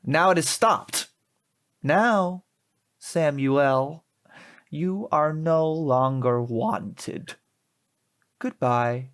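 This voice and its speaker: Man as a slow, prim, smugly polite son. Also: fairly high pitch, a bit bright. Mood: stern. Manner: formal, measured, reproachful. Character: prim, pious young male replacement son, smugly polite. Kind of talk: comic audio drama acting.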